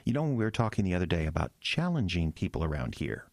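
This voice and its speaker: Young pastor, lowish voice, earnest, anxious, hesitant, radio drama, dry close sound. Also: male voice, big pitch swings, no echo. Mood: nervous, awkward. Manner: halting, self-deprecating, sentences trailing off. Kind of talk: audio drama acting.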